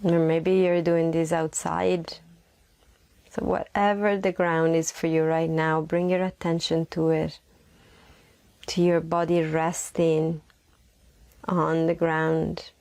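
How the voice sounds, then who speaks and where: Slow, creaky woman guiding meditation. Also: low-pitched, flat delivery, a little mellow, no echo. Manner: soothing, slow, with long pauses and repeated phrases. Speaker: guided meditation host. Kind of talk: guided meditation.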